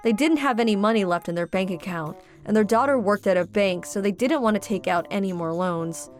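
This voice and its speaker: A woman's voice: mid-range voice, a little fast, soft background music. A woman reading scripted first-person story narration as the narrator of a dubbed comic.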